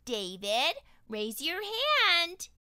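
A woman voicing a stern, exasperated female schoolteacher, scolding loudly and emphatically in a storybook read-aloud. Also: very high-pitched, huge pitch swings, extremely slow, dry recording, studio quality, voice pushed up, exaggerated voice.

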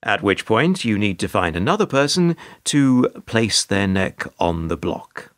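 An actor in a radio play playing a world-weary, put-upon hotel receptionist who talks in a wry, resigned, long-winded formal way. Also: male voice, fairly low pitch, sing-song, a little slow, fairly bright tone, no echo, studio quality. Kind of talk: radio play monologue and dialogue.

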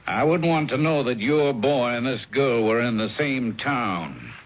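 An actor playing a gruff, suspicious parole officer in a 1970s radio drama. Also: male voice, medium pitch, expressive, unhurried, natural voice. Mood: wry, confidential. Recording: muffled 1970s radio broadcast, faint noise.

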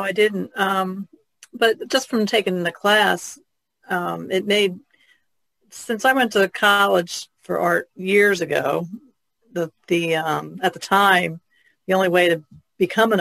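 A woman guesting on a podcast, talking casually and haltingly. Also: lowish voice, gravelly, fairly bright tone, dry recording, low-quality recording.

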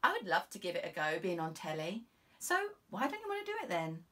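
A woman's voice: fairly low pitch, huge pitch swings, brisk, bright, forceful tone, far from the mic, exaggerated voice. A woman voicing a chirpy, eager, chatty young female police constable in an audiobook, with a breezy, informal, encouraging manner. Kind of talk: audiobook character voice.

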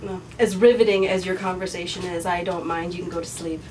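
A woman's voice: fairly low pitch, flat delivery, fairly quick, background noise, lightly acted. A young woman acting a sarcastic, unimpressed hairdresser with dry delivery in an amateur comedy sketch. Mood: weary sarcasm. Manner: dry, short replies. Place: small indoor room, camera mic. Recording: distant camera mic, music at times.

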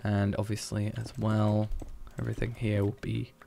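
A man's voice: lowish voice, completely flat delivery, normal speed, creaky, slight background noise. A man streaming a building game, thinking aloud in a hesitant, musing way as he plans. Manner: musing, hesitant, planning out loud.

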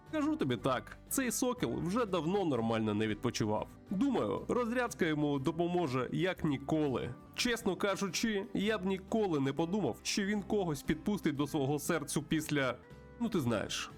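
Man putting on a high-voiced chatty bird bartender. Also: sing-song, fairly quick, soft background music. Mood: chummy, wistful. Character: chatty, friendly bird bartender in western saloon.

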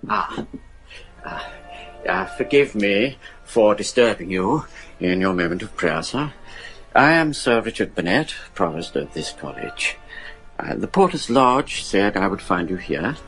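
A genteel English college provost in a gothic radio drama. He is hesitant and flustered, speaking slowly with animated pitch over a music bed. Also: full character voice, rough recording. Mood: polite, genial. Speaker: actor.

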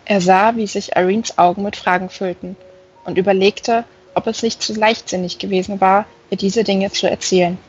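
A woman narrates a novel from a script. Her voice is husky and sits at a middle pitch. The recording sounds a little dull and lo-fi. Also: steady tone, normal speed, faint music behind. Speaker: audiobook reader. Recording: slightly dull, band-limited sound.

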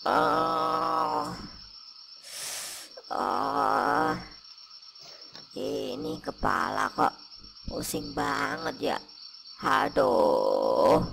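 A man voicing a grumbling male shroud ghost in a comic cartoon, gravelly and moaning in pain with slangy speech. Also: on the low side, fairly bright tone, slight background noise, exaggerated voice. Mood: pained, groaning. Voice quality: gravelly, creaky. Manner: groaning, complaining, slangy Jakarta-style speech. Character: grumbling pocong shroud ghost, adult male, suffering a migraine.

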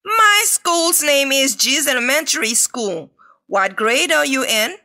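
A woman with a high, bright voice reads textbook dialogues for learners. Her delivery is clear and deliberate, and her pitch moves a lot. She sounds like a teacher voicing practice conversations. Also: animated. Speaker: textbook audio reader. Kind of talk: scripted dialogue reading for learners.

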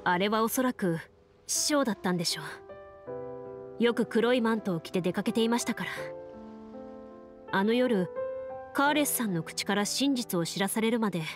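An actress playing a composed, serious young woman duelist in a video game, speaking in a calm, measured, formal way. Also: a little high, over music, natural voice. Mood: calm, reflective. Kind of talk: Japanese game voice acting.

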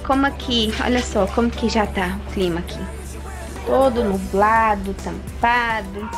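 Chatty young woman vlogging her home. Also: on the high side. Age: young adult. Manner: chatty, addresses viewers directly. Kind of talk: casual home tour vlog. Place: house and yard, in and out of doors. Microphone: phone held at arm's length. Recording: music playing underneath.

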